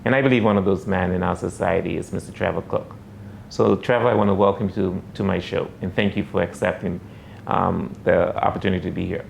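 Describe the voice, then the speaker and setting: A man hosting an interview show, speaking hesitantly with many pauses and fillers. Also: deep voice, fairly even intonation, vocal fry, a little hiss or hum. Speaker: show host.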